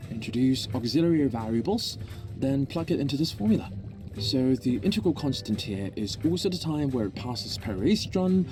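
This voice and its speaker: A man playing an eccentric, absent-minded scientist in a video game, rambling and excitable about his research. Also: a little high. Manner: rambling, excitable, self-absorbed. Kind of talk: video game character acting.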